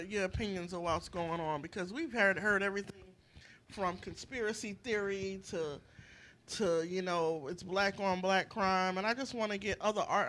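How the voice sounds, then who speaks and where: Bright, forceful woman hosting a podcast. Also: medium pitch. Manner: slangy, playful, repeats phrases for emphasis. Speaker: podcast host. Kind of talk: lively casual banter.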